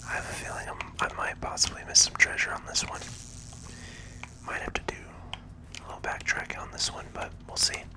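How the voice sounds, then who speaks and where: A gaming video host giving hushed, relaxed ASMR commentary in a breathy, unvoiced whisper while playing. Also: a little fast.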